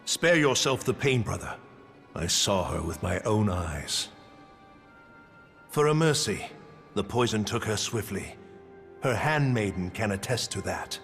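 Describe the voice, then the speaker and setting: A man's voice: on the low side, expressive, unhurried, creaky, pauses often, a bit bright, heavily acted. A man playing a cold, stern military captain in a video game cutscene, speaking in a grave, formal way. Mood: somber. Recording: long hall reverb, music underneath.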